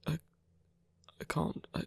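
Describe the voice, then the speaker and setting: A man playing a weary, tender villain laid up in pain, speaking haltingly and softly in an intimate ASMR roleplay. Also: close to an everyday voice. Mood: weary, reassuring. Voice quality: breathy, creaky. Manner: hesitant, halting, soft-spoken.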